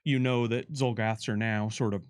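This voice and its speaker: A man's voice: fairly low pitch, fairly even intonation, moderate pace, clean tone. A man giving casual, unscripted commentary as a game developer, often starting a sentence over as he explains things.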